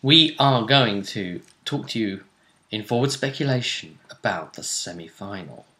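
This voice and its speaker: Guy hosting a casual tabletop game vidcast, bright forward voice, commenting and speculating. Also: lowish voice, lively intonation, measured pace. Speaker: host of tabletop role-play tournament vidcast.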